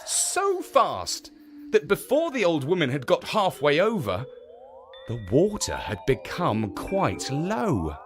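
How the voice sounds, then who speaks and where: A man narrating a children's story in an expressive, theatrical storytelling manner. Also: fairly high pitch, slow, faint music behind.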